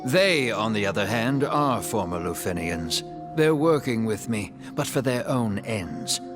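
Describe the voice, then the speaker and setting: A man playing an ancient, sorrowful dark elf king in a video game, sly and bitter, with a theatrical, teasing, measured delivery. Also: lowish voice, lively intonation, over music. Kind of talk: video game cutscene acting.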